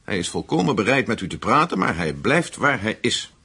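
A man acting in a radio play as a calm American official, justifying himself in a matter-of-fact way. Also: on the low side, brisk, breathy edge, heavily acted. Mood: calmly defensive.

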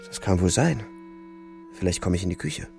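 An actor playing a weary, sensitive young soldier, narrating introspectively in a subdued, terse way in a German radio drama. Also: male voice, deep voice, animated, moderate pace, vocal fry, a little mellow. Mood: anguished. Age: young adult. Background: music.

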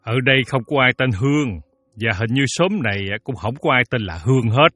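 A man's voice: medium pitch, expressive, fairly quick, pushed and bright, no echo, clean studio sound. A man playing a middle-aged, worried village father in an audio story, polite and plain-spoken with a Southern Vietnamese accent. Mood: puzzled. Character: humble, worried older village father, patient and conciliatory. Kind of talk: audio story drama dialogue.

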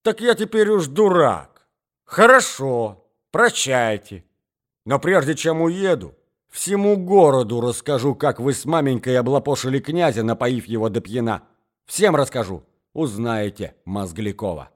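A man voicing an indignant, wounded young gentleman in a dramatic reading of a story, with exclamatory, emphatic speech. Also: medium pitch, animated, normal speed, natural voice. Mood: furious, threatening. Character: enraged, wounded young gentleman, rejected suitor.

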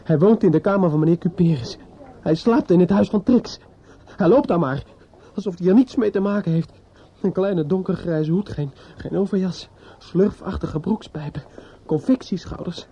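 Bitter drunken man, dark muffled voice. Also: sits high, moderate pace, slightly rough. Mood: bitter brooding. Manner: stammering, repetitive, brooding. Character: drunk, bitter, grieving judge's son, wounded and aggrieved. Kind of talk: radio drama acting with inner monologue. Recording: muffled, noisy old radio play recording.

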